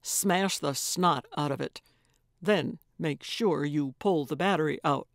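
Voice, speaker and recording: Casting: controlled, secretive man played by a woman narrator, clipped and brisk, lowered a little, audiobook thriller orders. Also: no room sound, clean studio sound. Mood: urgent, commanding. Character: tightly controlled, commanding, secretive man giving urgent orders. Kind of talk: audiobook character voice.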